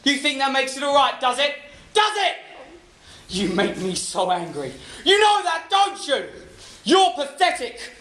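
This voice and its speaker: An actor playing a bullying, contemptuous husband who taunts his wife and breaks into shouting. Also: very high voice, big pitch swings, a little fast, few pauses, full character voice. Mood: furious. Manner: sneering, taunting, explodes into shouting. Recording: roomy echo.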